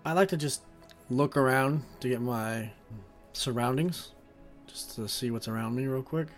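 A man's voice: mid-range voice, a little slow. A man talking casually as a player in a tabletop roleplaying game, asking questions and saying what his character does. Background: background music.

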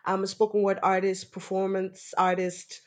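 A woman from Nigeria, a spoken word artist, recalling her childhood in a relaxed, reflective way. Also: medium pitch, moderate pace. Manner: relaxed, reflective, many fillers. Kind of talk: casual interview. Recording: soft music bed behind voice.

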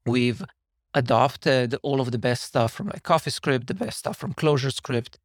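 A man from Slovenia, a software engineer guesting on a podcast, who talks casually and wanders off on tangents. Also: medium pitch, animated, normal speed, dry recording, studio quality. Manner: rambling, self-deprecating, digressive.

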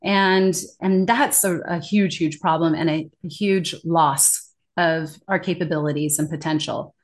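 A woman talking in a conversational interview as a communication trainer, animated and emphatic, laughing often. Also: sits low, a little slow.

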